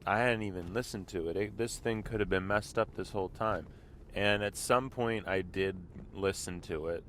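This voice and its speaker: A man hosting his own show, talking casually while driving, with a congested voice from a mild illness. He rambles and keeps clearing his throat. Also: lowish voice, moderate pace, fairly bright tone, a little hiss or hum. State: congested, slightly sick voice. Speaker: vlog host. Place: inside a car.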